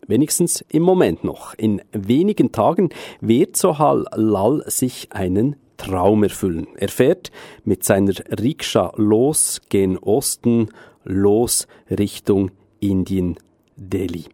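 A male presenter reads a radio feature intro in slow, deliberate, scripted narration. His voice is low and mellow, recorded dry and close in the studio. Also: lively intonation, no echo. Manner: measured, deliberate reading.

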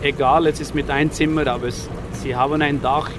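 A man in his forties from India, speaking halting with many fillers in a casual interview, outdoors on a city street. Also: on the high side, fairly even intonation, background noise. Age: 40s. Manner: halting non-native German with frequent fillers. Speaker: interviewee, rickshaw driver.

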